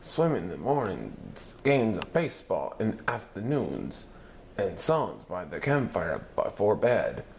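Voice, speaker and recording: A man reading a children's picture book aloud in an animated storytelling manner, putting on voices for characters. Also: on the low side, a little slow, creaky, slight background noise. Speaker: story reader.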